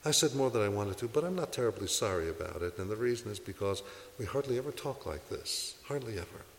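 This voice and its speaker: Priest, male, low voice, earnest exhorting sermon, long hall reverb with faint hiss. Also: expressive, fast talker. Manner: earnest, exhorting, asks rhetorical questions. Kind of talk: sermon to a congregation.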